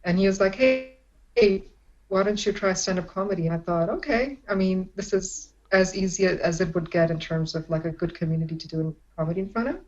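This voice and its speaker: A woman's voice: fairly low pitch, brisk, darkish tone, lo-fi sound. A young woman from Pakistan, a stand-up comedian, chatting casually from home, laughing often and restarting her sentences. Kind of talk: casual chat. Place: at home in isolation.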